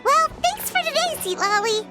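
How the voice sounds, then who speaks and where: A woman voicing a small childlike game companion with a squeaky voice, chirpy, blunt and curious. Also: expressive, normal speed, sharp tone, soft background music, exaggerated voice. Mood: cheerful. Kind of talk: video game voice acting.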